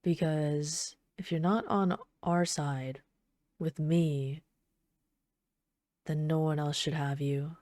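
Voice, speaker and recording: A woman playing an obsessive, sweetly menacing supervillain in an ASMR roleplay, soft-spoken, doting, possessive and taunting. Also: deep voice, fairly even intonation, takes it slowly, pauses often, dry recording. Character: obsessive yandere female supervillain, sweetly menacing, adoring her captive.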